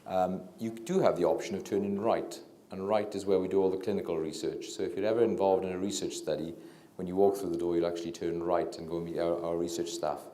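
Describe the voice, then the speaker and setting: Low-voiced Welsh man lecturing. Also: steady tone, fast, dark tone. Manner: hesitant, many fillers and restarts; low-talking. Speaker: physician and researcher giving a public talk. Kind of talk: public lecture. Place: lecture room with audience. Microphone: handheld microphone. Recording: echoey lecture room.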